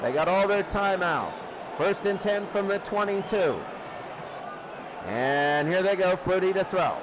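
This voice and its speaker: Male football radio announcer, high register, clipped repetitive calls, noisy recording. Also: animated, a little slow. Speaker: play-by-play sports announcer. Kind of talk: live radio-style football commentary.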